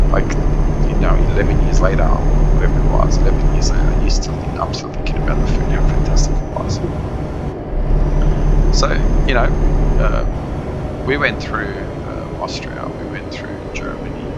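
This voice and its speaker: A man from Romania giving rambling, frank, casual commentary over gameplay on a desk microphone, full of fillers and restarts. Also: lowish voice. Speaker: gaming channel host. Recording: muffled lo-fi with loud truck game noise.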